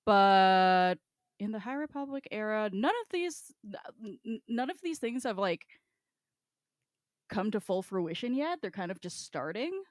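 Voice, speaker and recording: A woman's voice: medium pitch, very animated, a little slow, no room sound, studio quality. A woman co-hosting a podcast with casual banter, teasing sarcastically and swearing freely. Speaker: podcast co-host.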